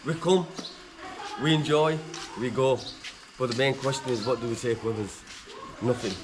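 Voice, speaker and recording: A man's voice: mid-range voice, normal speed, roomy sound, noisy background. A man giving a joking, rambling tour of his family's old village house. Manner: joking, rambling, loose tour-guide talk. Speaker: vlogger. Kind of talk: casual chat, touring a village house.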